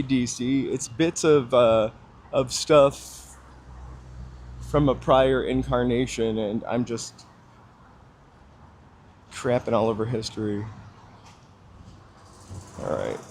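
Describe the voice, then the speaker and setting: A man's voice: mid-range voice, a little mellow, a little hiss or hum. A man chatting casually to live viewers while walking outdoors on a city street, rambling with lots of fillers, his voice a little froggy with a slight dry cough. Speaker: livestream walking host.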